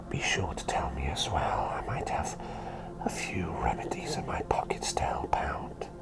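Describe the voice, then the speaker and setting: A man playing a gentle, doting plague doctor in a soothing whispered ASMR roleplay, attentive and softly playful. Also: deep voice, creaky, exaggerated voice, rough recording.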